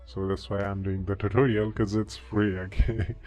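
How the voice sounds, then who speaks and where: A man giving a casual software tutorial, often tagging his sentences with okay. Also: fairly low pitch, flat delivery, normal speed. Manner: repeats okay as a tag. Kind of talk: casual screen-recorded tutorial. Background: background music.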